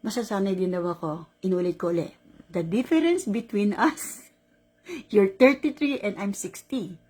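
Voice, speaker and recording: A woman in her sixties with a Filipino accent, telling a caregiving story in a casual, animated vlog, laughing often. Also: mid-range voice, moderate pace. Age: 60s. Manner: animated, laughing, mixes Tagalog and English.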